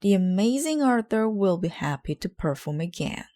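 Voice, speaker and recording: A woman reading a children's storybook, voicing an eager, bossy boy showman who calls out in a loud, stretched-out hawker's delivery. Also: mid-range voice, big pitch swings, moderate pace, voice pushed up, natural voice. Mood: showy. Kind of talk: children's storybook read aloud.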